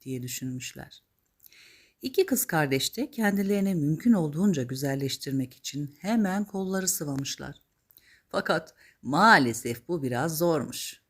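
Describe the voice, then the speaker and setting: Female storyteller for children's fairy tales: slightly low voice, highly animated melody, expressive and dramatic. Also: moderate pace, slightly rough. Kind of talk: scripted children's fairy tale narration.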